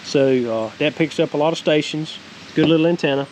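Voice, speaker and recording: A man's voice: on the high side, a little fast. A middle-aged man with a Southern American accent giving a casual, folksy, plain-spoken product review. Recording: faint hiss and outdoor noise.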